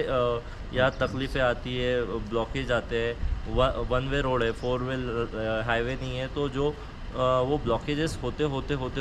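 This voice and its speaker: A man hosting a casual travel vlog outdoors in a cold mountain valley. He talks in a chatty, joking way and slips English phrases into his speech. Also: mid-range voice. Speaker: travel vlogger host. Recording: outdoor noise with passing vehicles.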